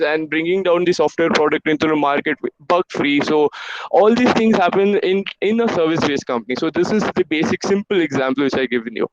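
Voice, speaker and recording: A man's voice: a little high, smooth. A young man in his twenties, a native Hindi and Urdu speaker, gives encouraging career advice to students in a webinar. He hesitates often with "uh" fillers. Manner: halting, with many "uh" fillers, encouraging. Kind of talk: motivational career-advice talk. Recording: dull lo-fi online call audio.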